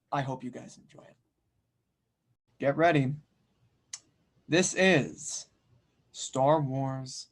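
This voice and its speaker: A man's voice: medium pitch, gravelly. A man acting as host and narrator, introducing and reading stage directions at a script table read.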